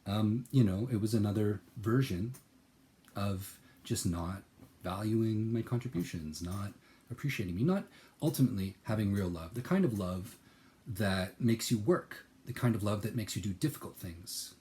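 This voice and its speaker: A man speaking in a personal, reflective monologue, earnest and searching, repeating words as he thinks and swinging from soft, lowered phrases to sudden loud, emphatic bursts. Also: animated, normal speed. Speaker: channel host.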